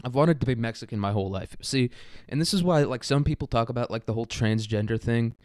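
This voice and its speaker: A young American man with a clear, smooth voice talks in a very dry-sounding space. He rambles through a casual comedic podcast monologue with a crude, irreverent edge. His pitch sits in an ordinary middle range for a man. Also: fast talker, a little mellow, dry recording. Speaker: solo podcast host.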